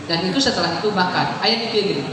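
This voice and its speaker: A man's voice: high voice, quick pace. Sundanese guy preaching, jokey and chatty, far off in a big echoey hall with noise. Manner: chatty, joking, mixing in local words, laughs often. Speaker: Islamic preacher. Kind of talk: lively humorous sermon to a congregation.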